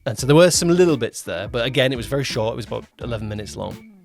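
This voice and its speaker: A man's voice: medium pitch, expressive, very fast, creaky, faint music behind. A man co-hosting a podcast as its producer, chatting casually and explaining things with frequent backtracking. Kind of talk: casual chat, project update.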